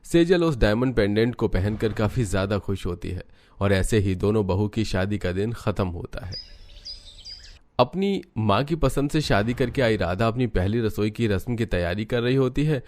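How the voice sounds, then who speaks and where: A man's voice: on the low side, animated, normal speed, a little mellow, slight background noise. A man reading scripted narration for a family story as its narrator.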